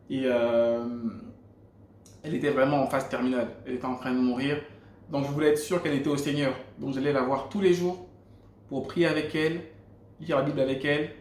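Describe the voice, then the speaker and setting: Man, Gabonese French accent, fairly high voice, earnest faith testimony, echoey room. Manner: earnest storytelling with frequent restarts. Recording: roomy echo.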